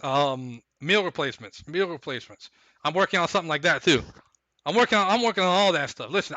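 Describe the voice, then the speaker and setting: A man hosting a live stream, chatting casually and recapping fights in a rambling, hyped way while his voice goes hoarse. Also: on the high side, bright, forceful tone, dry recording. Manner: rambling, hyped, repeats himself. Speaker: stream host.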